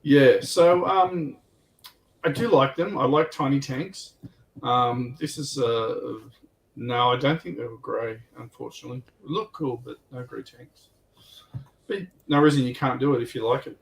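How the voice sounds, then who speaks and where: A man's voice: mid-range voice, a little slow, creaky, far from the mic, low-quality recording. A man on a hobby livestream panel, commenting casually on a tabletop game and thinking aloud in a hesitant, rambling way.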